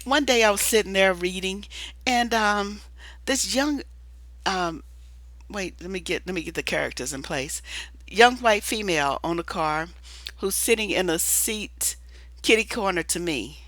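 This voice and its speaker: A hoarse woman who is losing her voice talks in a casual, rambling monologue, restarting often and filling pauses with "uh" and "um". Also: mid-range voice, lively intonation, a bit bright, no echo. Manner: rambling, restarts with many fillers. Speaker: video commentator.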